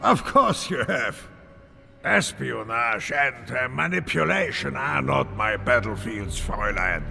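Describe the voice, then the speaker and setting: An actor playing a bitter, defiant, war-weary career soldier in a game cutscene, slow and scornful, with blunt delivery. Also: male voice, mid-range voice, expressive, creaky, sharp tone, exaggerated voice. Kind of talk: dramatic game cutscene acting. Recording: dramatic music behind the voice.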